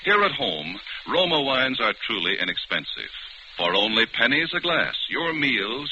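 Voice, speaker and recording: A man reads a sponsor message in polished 1940s announcer style. His tone is very bright and forceful, with animated emphasis. The old broadcast sounds muffled. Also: fairly low pitch. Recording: muffled old radio, faint noise.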